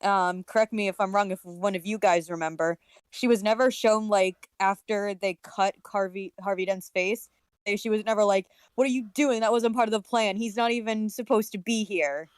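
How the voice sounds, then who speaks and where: A woman's voice: medium pitch, fairly even intonation, moderate pace, smooth, dry recording. A woman co-hosting a casual discussion, talking in a sarcastic, critical way. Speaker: podcast co-host. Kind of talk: casual comic-book discussion.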